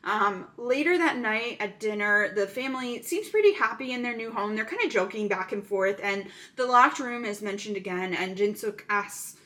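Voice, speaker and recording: A woman's voice: medium pitch, animated, moderate pace, hardly any pauses, bright, forceful tone. A woman casually recapping a thriller movie plot, chatty and full of "um" fillers.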